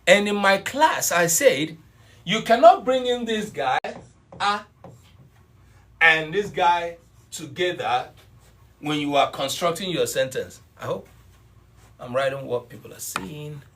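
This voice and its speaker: A man's voice: on the high side, expressive, slow, long pauses, a bit bright. A middle-aged man teaching an online language class, explaining points patiently and repeating them. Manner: explanatory, repeats points, greets students by name. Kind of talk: live online class lecture.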